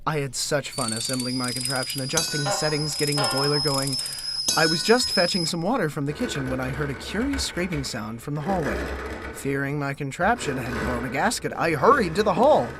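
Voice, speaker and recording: An actor playing a pompous, earnest gentleman decorator, telling his gothic comedy tale in a theatrical, melodramatic manner. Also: fairly high pitch, animated, a little fast, heavy background noise, natural voice. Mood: tense storytelling. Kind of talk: theatrical first-person gothic comedy narration.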